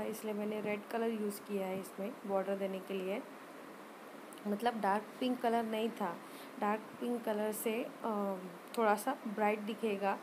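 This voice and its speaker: A woman giving an informal step-by-step craft tutorial voice-over, hesitant and often pausing mid-sentence. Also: mid-range voice, noisy background. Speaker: rangoli art tutorial presenter.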